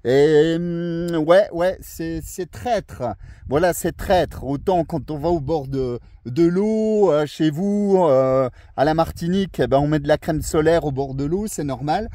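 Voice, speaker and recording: A man's voice: on the high side, measured pace, mellow, no room sound. A man from France, an amateur radio operator chatting casually during a radio contact. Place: outdoors on a mountain, over a thousand metres.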